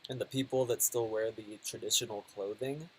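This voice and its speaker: A man's voice: on the low side, a little fast, poor audio. Man, travel vlogger, live stream host, casual, hesitant fillers, faint background hiss. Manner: relaxed, hesitant, frequent fillers and laughs. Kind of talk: casual live-stream chat reading viewer questions.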